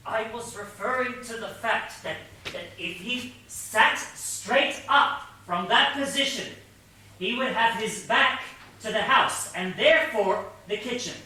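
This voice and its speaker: An actor playing an earnest, pedantic detective sidekick in a comic stage play, explaining things with precise, patient logic. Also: male voice, very high voice, measured pace, pushed and bright, exaggerated voice. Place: theatre stage, mic far off. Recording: distant, echoey theatre stage recording, faint hiss.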